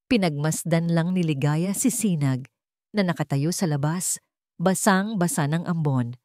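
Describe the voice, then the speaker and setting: A woman with a Filipino accent narrating a scripted romance story in a measured, emotive, dramatic way. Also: low voice, warm tone, no room sound, studio quality.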